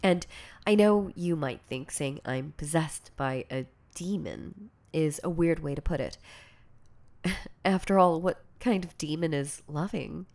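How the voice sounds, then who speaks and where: A woman acts out a lovestruck yandere girlfriend in an intimate audio roleplay. Her voice is low for a woman and soft. She speaks very slowly, hesitating and stammering with shy, insecure devotion.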